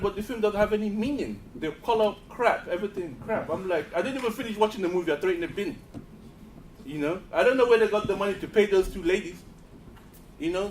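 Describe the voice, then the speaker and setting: A man's voice: high voice, fast talker. A man speaking bluntly and dismissively from the floor of a press conference. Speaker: audience member at a press conference. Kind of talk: spontaneous public comment. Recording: echoey hall with faint background noise.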